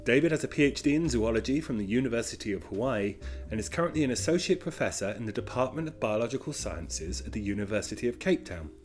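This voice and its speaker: Male podcast host, very animated intonation, mid voice, eager questioner. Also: medium pitch, fast, faint music behind. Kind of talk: scripted intro and casual interview questions.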